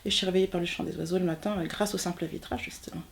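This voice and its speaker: A woman's voice: lowish voice, fairly even intonation, racing, creaky. A woman in her forties from Normandy, France, telling a personal story informally, often searching for words. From southern Manche, Normandy, France. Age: 40s. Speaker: interviewee, textile artist.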